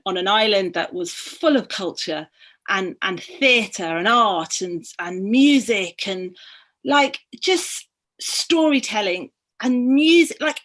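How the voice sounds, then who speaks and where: A middle-aged woman from Barbados talks as a guest in a relaxed discussion. Her voice sits at an even middle pitch. She speaks earnestly and with passion, working through her thoughts as she goes. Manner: earnest, passionate, thinking aloud. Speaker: guest, book activist. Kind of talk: conversational discussion.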